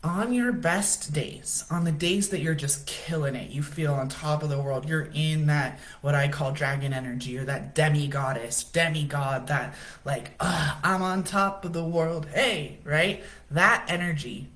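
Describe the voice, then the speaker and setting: Low-voiced woman coaching casually. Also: unhurried, fairly bright tone. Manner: casual, chatty, says "right?" often. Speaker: livestream host. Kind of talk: spiritual coaching talk.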